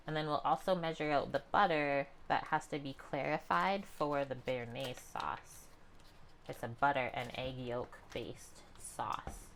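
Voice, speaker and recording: Calm low-voiced woman cooking. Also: unhurried, a little hiss or hum. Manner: calm, chatty, talks to viewers. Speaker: cooking streamer. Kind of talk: casual cooking demonstration. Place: home kitchen.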